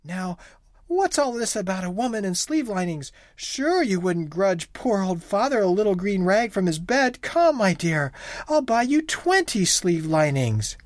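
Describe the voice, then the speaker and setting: A woman voicing a simple, bewildered young husband who coaxes and soothes in a gentle tone. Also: mid-range voice, sing-song, measured pace, no room sound, voice pushed up. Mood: coaxing. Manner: gentle and coaxing.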